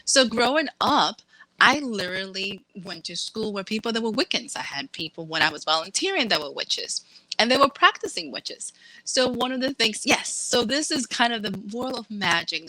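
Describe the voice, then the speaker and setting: Bright, lively woman author on a video call. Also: medium pitch, moderate pace, dry recording, poor audio. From Massachusetts, USA. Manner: lively, laughs, acts out quoted voices. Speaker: author guest. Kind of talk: casual interview chat.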